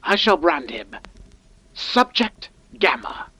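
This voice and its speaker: Man acting a slow, pompous mad-scientist type. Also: on the high side, very animated, bright, forceful tone, breathy edge, no echo, heavily acted. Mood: smug, scheming. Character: coldly ambitious male scientist dictating an audio log. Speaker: doctor. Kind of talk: audio diary monologue, game-style acting.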